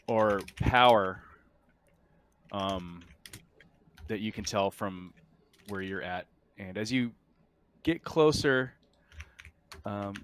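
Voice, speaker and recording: Man, lowish pitch, game master, casual improvised narration, halting, conversational. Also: a little hiss or hum.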